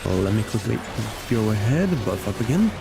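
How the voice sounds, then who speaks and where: A man's voice: lowish voice, big pitch swings, normal speed, gravelly. A man giving casual gameplay commentary and chatting to viewers as a livestreamer, with music behind him. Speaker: game livestreamer.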